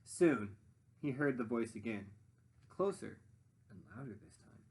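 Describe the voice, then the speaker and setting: A man narrating a children's story expressively as a read-aloud teacher, pausing to muse and put questions to listeners. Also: medium pitch, breathy edge. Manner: animated, pausing to muse and prompt.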